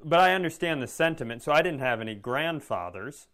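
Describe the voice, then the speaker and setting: A man's voice: fairly high pitch, lively intonation, brisk, a bit bright. A man co-hosting a comedy podcast, telling rambling, wry stories in casual chat.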